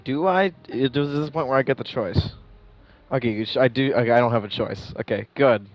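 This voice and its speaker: A man's voice: medium pitch, fairly quick. A man giving casual play-along game commentary as a streamer, with a blunt, opinionated manner.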